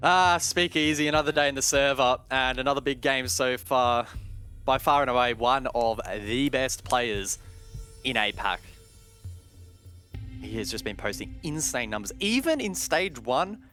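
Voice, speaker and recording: A man's voice: medium pitch, a little slow, pushed and bright, smooth, faint music behind. A man giving analytical live commentary on an esports match as a caster.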